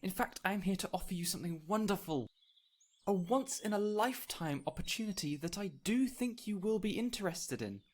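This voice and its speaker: A man playing an ancient, whimsical fey spirit in an audio roleplay, speaking in a gentle, courtly, theatrical way with lingering pauses. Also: sits high, lively intonation. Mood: grand, delighted. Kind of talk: audio roleplay addressed to the listener.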